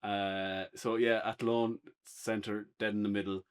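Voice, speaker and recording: A man's voice: lowish voice, little pitch movement, measured pace, clear, resonant tone. An Irish man chatting casually and without a script as a podcast co-host giving a review. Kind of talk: casual unscripted banter.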